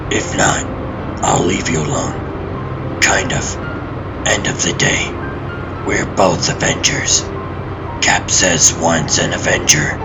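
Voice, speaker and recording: A man voicing a cocky, wry vigilante hero in a comic dub, with a dry, deadpan delivery. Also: rumbling low. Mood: dry, persistent. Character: cocky adult male vigilante hero, wry, earnest, restless talker. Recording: loud music bed under the voice.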